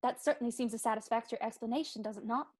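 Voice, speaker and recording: A woman acts out a naive, prim young country lady in a Victorian comedy reading. The voice sits high, and the lines come formally, with earnest care. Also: very fast, darkish tone, slightly rough.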